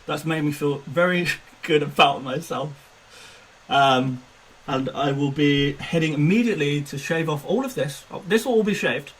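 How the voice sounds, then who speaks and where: A man's voice: on the high side, moderate pace, slightly rough, poor audio. A man in his twenties chatting casually on a live stream, self-mocking and laughing often. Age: 20s. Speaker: fantasy football streamer.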